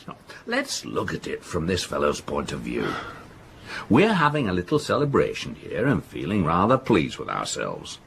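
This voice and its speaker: A man's voice: low voice, wildly swooping pitch, normal speed, gravelly, fairly bright tone, a little hiss or hum, exaggerated voice. An older man playing a self-assured, blustering father in a period radio drama, arguing emphatically and dismissively. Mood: triumphant bluster. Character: blustering, self-assured middle-aged father of a well-to-do family. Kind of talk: period stage-play radio drama acting.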